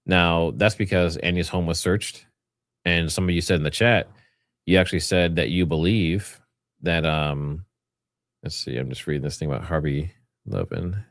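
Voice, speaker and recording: Man, deep voice, dry close sound, livestream host, casual rambling commentary. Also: dry recording. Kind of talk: casual livestream commentary, partly reading notes aloud.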